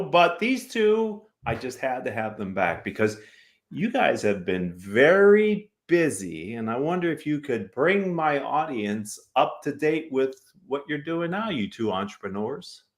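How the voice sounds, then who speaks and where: A man's voice: mid-range voice, sing-song, moderate pace. An American man hosting a business talk show, presenting and interviewing in an upbeat style.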